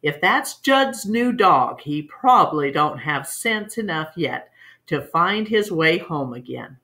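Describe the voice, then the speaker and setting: Storybook country father, stern and practical, blunt folksy talk, measured pace read by a woman. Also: low-pitched, expressive, sharp tone, heavily acted. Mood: matter-of-fact. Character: stern, practical rural father of a young family.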